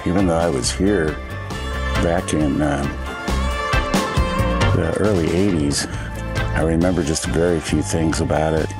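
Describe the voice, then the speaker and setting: An older man with a raspy voice narrating a hike outdoors for his travel vlog, low-key and rambling as he thinks aloud. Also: steady tone, measured pace, long pauses. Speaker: travel vlogger. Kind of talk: casual hiking narration. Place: outdoors on a desert trail. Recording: music bed under the voice.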